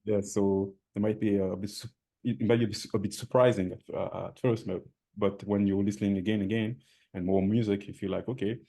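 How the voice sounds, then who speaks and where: A soft-spoken man with a slight foreign accent answers haltingly and restarts his phrases. His voice is deep and mellow. He sounds modest and thoughtful. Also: steady tone, creaky. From Switzerland. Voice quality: low voice. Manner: hesitant, many restarts, soft-spoken, laughs shyly. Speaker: musician guest. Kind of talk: interview answers.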